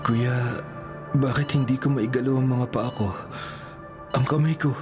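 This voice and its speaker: A man's voice: medium pitch, fairly even intonation, a little slow, music in the background, natural voice. A man playing a frightened, vulnerable injured young man in a hospital scene of a radio drama. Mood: panicking.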